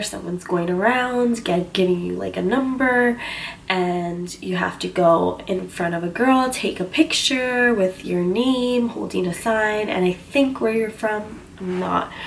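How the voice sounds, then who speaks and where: A young woman with a cold, sounding stuffy and slightly husky, chatting casually on a vlog with lots of fillers. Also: mid-range voice. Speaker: vlogger. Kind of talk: casual storytelling chat. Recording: voice a bit far from the mic, faint background hiss.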